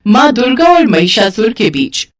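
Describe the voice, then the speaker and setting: A woman's voice: on the high side, big pitch swings, normal speed, far from the mic. A woman narrating a scripted mythological tale in a dramatic storytelling style.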